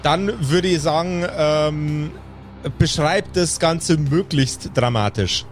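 A man with a fairly high voice runs a tabletop role-playing game as game master. He narrates in a measured, deliberate way, leaving pauses. Faint music plays behind him.

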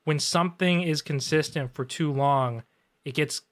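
A man's voice: on the high side, a little slow, fairly bright tone. A man who works as a designer, answering questions on a live show in a laid-back way with lots of fillers. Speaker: guest designer. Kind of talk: casual live Q&A.

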